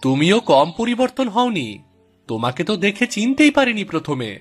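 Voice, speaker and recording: A man's voice: sits high, sing-song, normal speed. A man playing an earnest young villager in a cartoon story, speaking in a pleading, insistent, emphatic way. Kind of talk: dramatized Bengali cartoon story dialogue. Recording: music behind the voice.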